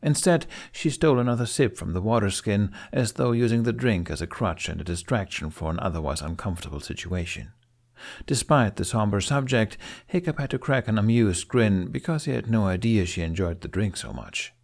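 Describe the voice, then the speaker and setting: Low-voiced man narrating an audiobook. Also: animated, a little fast, gravelly. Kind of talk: scripted fiction narration.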